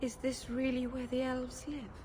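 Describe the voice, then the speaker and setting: A woman playing a shy, gentle young elf mage in a video game, earnest and hesitant. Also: sits high, monotone, normal speed, a little hiss or hum, lightly acted. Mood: daunted. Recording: loud music behind.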